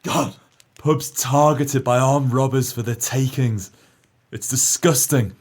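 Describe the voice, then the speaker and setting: A man acting in an audio play as a crooked, cowardly swindler, nervous and halting with theatrical piety. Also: medium pitch, darkish tone. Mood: feigned sorrow. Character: jittery crooked swindler, male, falsely pious and cowardly.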